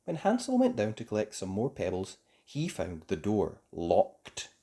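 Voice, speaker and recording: Lively, theatrical children's storyteller. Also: deep voice, a little slow. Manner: theatrical, emphatic for young listeners. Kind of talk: expressive scripted storybook narration.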